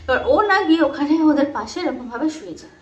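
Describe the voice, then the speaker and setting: A woman's voice: high-pitched, fast talker, dull audio. A woman casually retelling a ghost story in a chatty, unscripted way, mixing in English words. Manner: chatty, mixes English words into her telling, acts out dialogue. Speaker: storyteller on a horror audio story channel. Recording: roomy echo, faint background noise.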